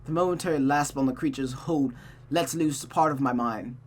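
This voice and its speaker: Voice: medium pitch, a little fast, a little hiss or hum. A streamer reading a visual novel aloud expressively, with dramatic narration and casual asides. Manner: expressive, often restarts misread sentences.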